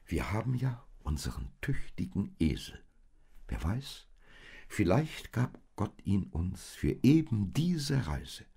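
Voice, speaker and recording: A man voicing Maria, a hopeful young expectant mother in a storybook reading, speaking softly in a husky, creaky voice raised above his own. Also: fairly low pitch, very animated, takes it slowly, voice pushed up, exaggerated voice.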